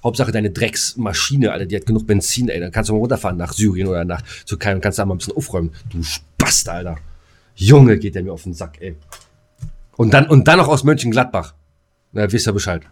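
A man's voice: fairly low pitch, quick pace, slight background noise. A man co-hosting a podcast in casual, colloquial banter, blunt and given to heavy swearing. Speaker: podcast co-host.

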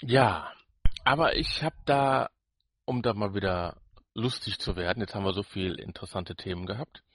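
A man's voice: lowish voice, moderate pace, a bit bright, husky, no room sound. A man with a German accent co-hosting a podcast in a blunt, colloquial, opinionated way, often repeating himself. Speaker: podcast co-host. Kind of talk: casual opinionated chat.

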